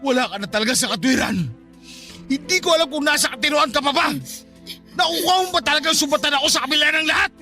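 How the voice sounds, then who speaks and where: A man's voice: very high-pitched, fast talker, full character voice. A man playing a grieving, furious father in a Tagalog radio drama, with anguished, accusatory delivery. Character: grieving, furious father blaming his wife. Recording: loud dramatic music behind. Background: dramatic music.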